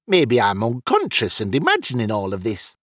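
A male narrator puts on a cheeky, ale-loving wizard for a fantasy storybook. The voice is husky and leaps wildly up and down in pitch, with a flippant, wry edge. It is recorded close and dry. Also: wildly swooping pitch, normal speed, fairly bright tone, no echo. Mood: wry, puzzled.

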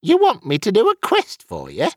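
Tipsy fantasy wizard played by a man: husky, very animated pitch, cheeky and flippant, audiobook style. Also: normal speed, dry recording, studio quality, exaggerated voice. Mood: cheeky, bargaining. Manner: cheeky, wry, colloquial. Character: tipsy, flippant male wizard, fond of ale.

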